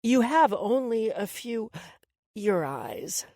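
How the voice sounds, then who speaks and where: Brief: woman playing a cool, menacing tormentor for an audio story, quiet menace, varied pitch. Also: lively intonation, slow, no echo, studio quality. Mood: cold, menacing. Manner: soft, coolly menacing. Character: calm, cruel woman toying with captives.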